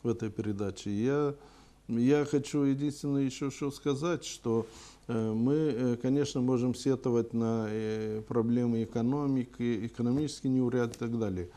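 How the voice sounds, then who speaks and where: A man giving unscripted interview answers as a TV guest, speaking in a halting, deliberate way. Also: mid-range voice, fairly even intonation, mellow. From Gagauzia, Moldova. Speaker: TV programme guest.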